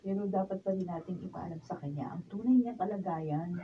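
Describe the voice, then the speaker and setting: A woman's voice: on the low side, brisk, lightly acted. A woman playing a probing, insistent family member in a radio drama, with a questioning manner. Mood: concerned, pressing. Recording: dull, noisy, distant room recording.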